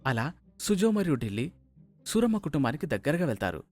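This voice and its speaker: A man's voice: on the high side, very animated, a little fast, darkish tone, faint music behind. A man reading scripted narration of a family moral story.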